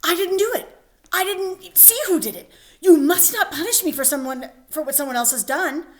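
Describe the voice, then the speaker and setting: A woman's voice: high-pitched, lively intonation, moderate pace, voice pushed up. A woman voicing a frightened male soldier who rambles nervously and stammers. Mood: flustered. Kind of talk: dramatic reading of a play.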